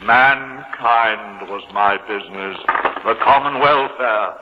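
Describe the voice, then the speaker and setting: Remorseful dead businessman's ghost: slow, solemn declamation drenched in long reverb, vintage radio play. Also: on the low side. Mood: anguished, doom-laden. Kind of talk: 1950s radio drama acting. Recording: reverberant, dull, hissy old radio broadcast.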